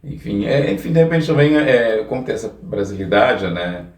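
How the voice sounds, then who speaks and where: A man's voice: lowish voice, animated, normal speed, a little mellow, slight background noise. A Brazilian man interviewing casually, with hesitant, rambling questions. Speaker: podcast interviewer. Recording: echoey room, distant from mic.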